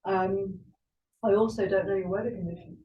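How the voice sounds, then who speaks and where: A woman's voice: mid-range voice, steady tone, moderate pace. A British woman, an air traffic controller, explaining things in an informal class in a plain-spoken, reassuring way. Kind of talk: informal classroom teaching and discussion. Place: indoor ground school classroom. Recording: roomy, dull, distant, noisy classroom recording.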